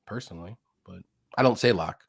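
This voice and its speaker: A man in his late thirties hosting a casual live stream, chatty and quick to greet viewers by name. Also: on the low side, gravelly, slightly rough. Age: 30s. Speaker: host of a betting picks show.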